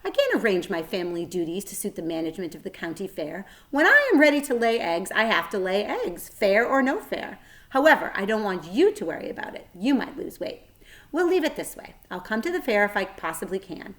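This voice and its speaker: A woman's voice: medium pitch, very animated, a little fast, fairly bright tone. A woman voicing a wise, self-assured female spider in a children's storybook, precise and matter-of-fact. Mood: brisk, assured. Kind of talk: children's storybook read-aloud.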